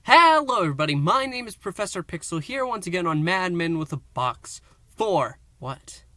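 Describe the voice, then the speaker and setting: A man hosting a fan review and recap, speaking in short, halting phrases with theatrical emphasis. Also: sits high, very animated, pushed and bright, slight background noise. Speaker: host of a fan review show. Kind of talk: review and recap to camera, semi-dramatic storytelling.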